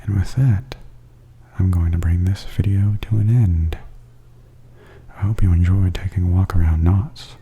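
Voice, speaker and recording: A man giving softly spoken ASMR tour commentary in a breathy half-whisper, calmly and gently describing things as a host. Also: deep voice, a little hiss or hum. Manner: calm, unhurried, gently descriptive.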